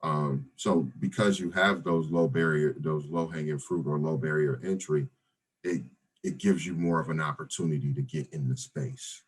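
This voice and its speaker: A man around forty with a deep voice, talking casually as a guest on an interview podcast, with many fillers and restarts. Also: fairly even intonation, moderate pace, slightly rough. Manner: laid-back, many fillers and restarts, says "brother" and "right?". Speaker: real estate investor guest.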